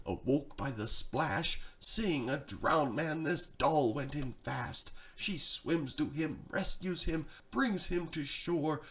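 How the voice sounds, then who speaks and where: A man's voice: mid-range voice, a bit bright. A man reading a darkly comic rhyming tale in a theatrical, rhythmic storytelling style. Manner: dramatic, sing-song rhythmic delivery. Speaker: storyteller, reader.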